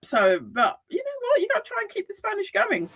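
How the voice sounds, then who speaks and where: Animated British woman in casual chat. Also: very high voice, fairly quick. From United Kingdom. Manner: animated, frequent restarts, laughs easily. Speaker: guest, psychotherapist and life coach. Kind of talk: casual conversational interview. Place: Madrid, Spain.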